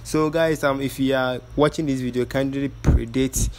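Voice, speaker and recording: A man in a mid-pitched voice hosts his vlog with chatty, upbeat energy, peppering his talk with fillers and calls to like and share. Faint noise hums under his voice. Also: fairly even intonation, moderate pace, darkish tone. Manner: chatty, hypes up viewers, filler-heavy. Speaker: vlogger, channel host. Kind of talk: casual vlog update.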